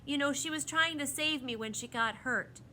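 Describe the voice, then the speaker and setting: A woman's voice: high-pitched, moderate pace, bright, forceful tone, a little hiss or hum, pitched above the performer's own voice. A woman voicing a hot-tempered, fiercely loyal young boy in a storybook, emotional and defiant. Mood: anxious.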